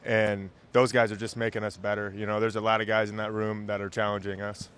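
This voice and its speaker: A man's voice: lowish voice, level tone, fairly quick, a bit bright, smooth. A young man from Nebraska, a college football player, giving short, plain interview answers. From Lincoln, Nebraska. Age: young adult.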